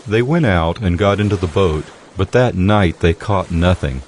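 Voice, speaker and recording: A man narrating scripture in a steady, solemn scripted reading. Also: low-pitched, normal speed, slight background noise. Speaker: Bible narrator. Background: music.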